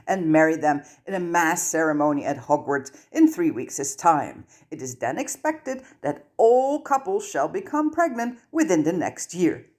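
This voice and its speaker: A woman's voice: lowish voice, very animated, a little slow, hardly any pauses, darkish tone. A woman voicing a bookish, easily outraged teenage witch in an audiobook, with an urgent, emphatic way of talking. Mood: tense disbelief.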